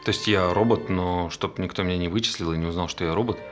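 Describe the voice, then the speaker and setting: Casting: an actor as a deep-voiced, fast-talking man who insists he is a robot, earnest and long-winded, slightly husky, in a stage play. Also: over music, natural voice. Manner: earnest, explaining at length.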